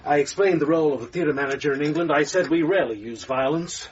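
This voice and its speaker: A man's voice: fairly high pitch, fast talker, close to an everyday voice. An actor playing a sardonic, theatrical male theatre manager in a radio drama, needling and emphatic with sarcastic flourishes. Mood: animated, wry. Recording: distant, dull, faintly noisy radio recording.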